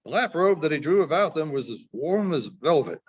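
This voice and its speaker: A man reading short stories aloud in an expressive storytelling style, as a librarian does. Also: on the high side, fairly quick, slight background noise. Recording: dull, muffled lo-fi sound.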